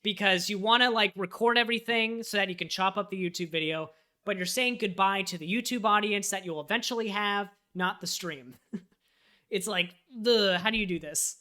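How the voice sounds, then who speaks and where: A man with a bright, forward voice chatting casually on a livestream. He thinks aloud as he fills out a form and talks straight to his viewers. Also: high voice, moderate pace. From United States. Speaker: live streamer and web developer.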